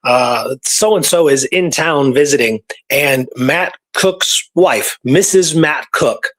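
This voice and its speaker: A man's voice: on the high side, lively intonation, slow pace, fairly bright tone. A man co-hosting a talk show, telling history in a casual, conversational way, often checking in with the listener. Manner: conversational, peppered with "you know" and "right". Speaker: radio show co-host. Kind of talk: casual storytelling about Old West history.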